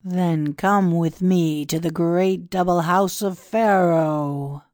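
A pompous, scheming Egyptian priest who speaks slowly and with grand ceremony. A woman voices him in a lowish, unhurried voice. The recording is very dry and close. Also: steady tone, darkish tone, no echo. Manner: grand, confiding, measured. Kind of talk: audiobook dialogue reading.

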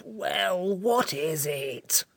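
A cross, drowsy magical sand creature, played by a woman reader. It grumbles slowly in a low, gravelly voice, as a storybook beast. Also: animated, a bit bright, no echo, full character voice. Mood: cross, sleepy. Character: grumpy, sleepy old magical sand creature. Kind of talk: storybook character dialogue.

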